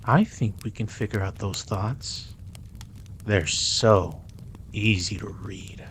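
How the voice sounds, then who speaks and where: A man's voice: lowish voice, slow pace, fairly bright tone, slight background noise. A man playing a dominant, teasing mafia boss in a roleplay audio drama, commanding and sometimes slipping into Spanish. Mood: soothing, coaxing. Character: dominant, teasing male mafia boss, indignant yet protective.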